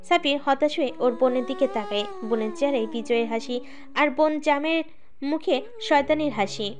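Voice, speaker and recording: A woman's voice: high voice, brisk. A woman narrator reading a scripted dramatic story.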